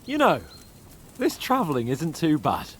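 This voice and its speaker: A man's voice: fairly high pitch, huge pitch swings, a little slow, a little hiss or hum. A man playing a good-humoured senior retainer who leads his fellows in a medieval video game. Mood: easy-going.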